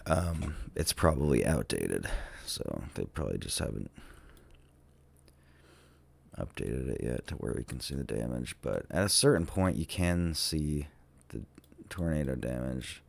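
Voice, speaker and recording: A sick man with a cold thinks aloud in a casual, hesitant, musing way, with many ums and trailing pauses, as he narrates a vlog. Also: rumbling low, animated, creaky, a little mellow, no echo. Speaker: vlogger touring tornado damage on a map.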